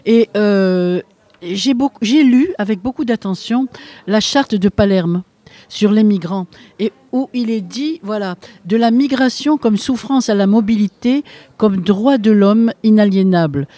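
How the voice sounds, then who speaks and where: Interviewer, mellow dark tone, dry close sound, halting long questions. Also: mid-range voice, no echo.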